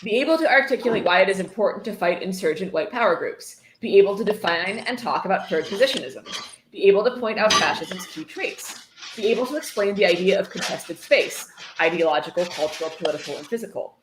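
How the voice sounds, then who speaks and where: A woman's voice: mid-range voice, animated, fast. A woman with a dry, sarcastic manner, reading a book aloud and adding casual commentary. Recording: roomy echo, faint noise.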